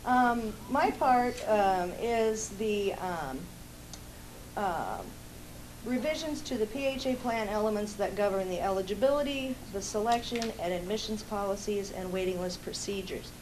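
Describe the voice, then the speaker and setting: A woman with a loud voice, a housing agency staffer presenting policy changes at a public meeting, pausing often as she goes. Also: mid-range voice, background noise.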